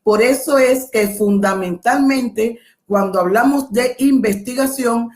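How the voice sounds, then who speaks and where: A middle-aged woman from Cuba with a Cuban Spanish accent, giving an academic webinar lecture in a measured, explanatory way, with pauses and self-corrections. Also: fairly high pitch, darkish tone. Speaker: guest lecturer.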